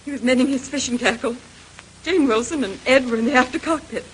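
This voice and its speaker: Actress as a high-voiced, distraught wife in old radio drama. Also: female voice, close to an everyday voice. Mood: strained confession. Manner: halting, melodramatic, pleading. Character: distraught young wife confessing to an accidental killing. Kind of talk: 1930s radio drama acting. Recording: noisy vintage radio recording.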